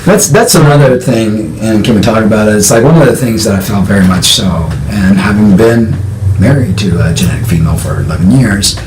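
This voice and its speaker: A man with a lowish voice talks frankly and with emphasis in a personal conversation. The room echoes around him, and his delivery stays direct and sure. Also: expressive, fairly quick, noisy background. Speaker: co-host.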